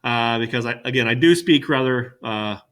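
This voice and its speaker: A middle-aged male teacher with a loud, boisterous classroom voice, talking casually and rambling with fillers, recorded on a webcam in an empty classroom. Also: mid-range voice, normal speed. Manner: rambling, chatty with many fillers. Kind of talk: casual classroom welcome talk.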